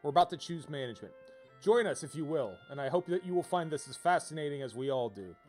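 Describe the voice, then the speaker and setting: A man's voice: fairly high pitch, expressive, fast, darkish tone, soft background music. A man giving casual, rambling game livestream commentary, with wry playful asides as he reads on-screen text aloud.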